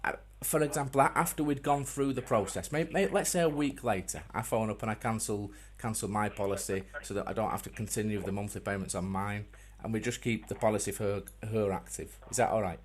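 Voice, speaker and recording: A man making a prank call as a customer, speaking in a deadpan, mock-earnest way with hesitant, repeated phrases. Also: lowish voice, fairly quick, few pauses, slight background noise. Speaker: prank caller.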